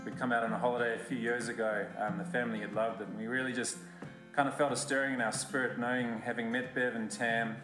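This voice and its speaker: A man from South Africa telling a relaxed, reflective story about moving with his family. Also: mid-range voice, fast talker. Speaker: church member. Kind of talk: testimony interview. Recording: echoey room with guitar music.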